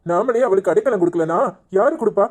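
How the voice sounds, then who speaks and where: A man's voice: high-pitched, quick pace, darkish tone. A man playing a troubled, apologetic family man, worried and deferential, in a cartoon village drama.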